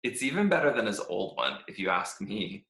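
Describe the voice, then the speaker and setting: A man reading a children's storybook as a cheerful, oblivious talking stick, gushing with naive excitement. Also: fairly low pitch, normal speed, voice pushed down. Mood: proud, upbeat. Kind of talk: animated children's storybook read-aloud. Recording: echoey room.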